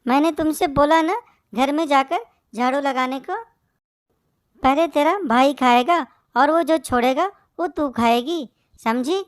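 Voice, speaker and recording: A cruel cartoon mother bird, played by a woman, speaks in a high voice. She scolds harshly and draws her words out slowly, favouring her son over everyone. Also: dry recording, studio quality. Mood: scolding, dismissive. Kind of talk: Hindi moral-story cartoon dub.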